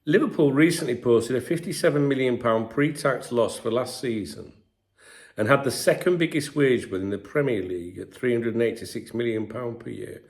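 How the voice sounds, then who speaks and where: A middle-aged English man with a stuffy, congested voice and a sore throat, chatting casually as a football channel host and often clearing his throat. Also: medium pitch, normal speed. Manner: chatty, frequent throat clearing, filler-heavy. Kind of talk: casual commentary to camera.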